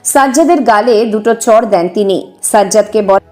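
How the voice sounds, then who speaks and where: A woman's voice: medium pitch, moderate pace, warm tone. A woman giving scripted narration for a dramatised audio story.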